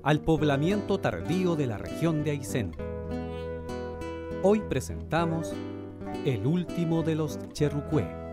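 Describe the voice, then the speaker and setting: A man giving a slow scripted introduction as a radio programme announcer. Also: a little high, very animated, loud music behind the voice.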